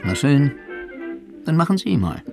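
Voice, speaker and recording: A man's voice: fairly high pitch, big pitch swings, a little slow, creaky, music in the background. A man narrating a scripted radio documentary in a dry studio.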